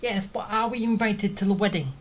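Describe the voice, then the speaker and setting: A woman's voice: mid-range voice, fairly quick, heavy background noise. A woman giving casual, offhand, teasing commentary as they play a video game. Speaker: gamer commenting on a playthrough. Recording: loud game music behind voice. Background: game music.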